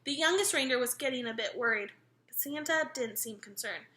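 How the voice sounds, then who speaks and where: A woman's voice: high-pitched, animated, brisk, sharp tone, reverberant. A woman reading a children's picture book aloud expressively, engaging her young listeners as she goes. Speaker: read-aloud storyteller.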